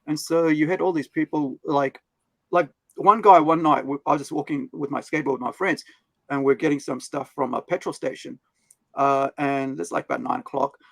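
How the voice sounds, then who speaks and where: A man's voice: on the high side, steady tone, moderate pace, creaky, a bit bright, no room sound. A man with a New Zealand accent hosting a casual chat, rambling through his points in an anecdotal way. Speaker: livestream show host.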